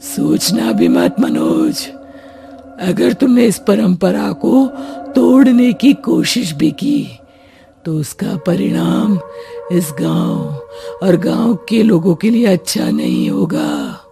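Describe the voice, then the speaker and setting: A woman voicing the menacing male ghost of a dead king, giving drawn-out threatening warnings in a cartoon story. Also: fairly low pitch, wildly swooping pitch, vocal fry, mellow, faint music behind, full character voice.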